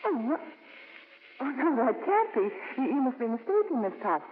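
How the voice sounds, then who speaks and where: An actress playing an earnest, gentle nun in a 1950s radio drama, hopeful and a little halting. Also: female voice, high voice, sing-song. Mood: dismayed. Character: earnest, hopeful nun raising money for her cause. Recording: old, lo-fi, hissy radio broadcast.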